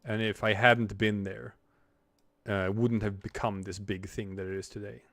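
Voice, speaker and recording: A man's voice: lowish voice, little pitch movement, normal speed, creaky, a bit bright, no room sound. A man in his forties, a streamer and comic artist, talking in a rambling, reflective way while he draws, with many restarts. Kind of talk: casual livestream chat while drawing.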